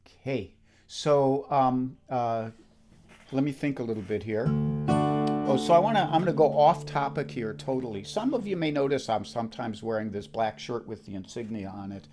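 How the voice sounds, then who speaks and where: A man in his seventies with a croaky voice, chatting casually and in a rambling, self-deprecating way between songs on his live stream. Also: medium pitch, normal speed, darkish tone. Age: 70s. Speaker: musician hosting a live stream. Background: acoustic guitar.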